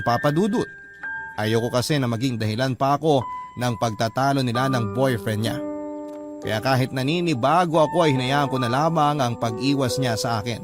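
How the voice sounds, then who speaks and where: A man's voice: medium pitch, close to an everyday voice. A Filipino-accented man acting as a sincere young letter-sender, narrating his love story for radio in a confiding way. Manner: earnest, confiding. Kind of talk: dramatized first-person radio narration. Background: music bed.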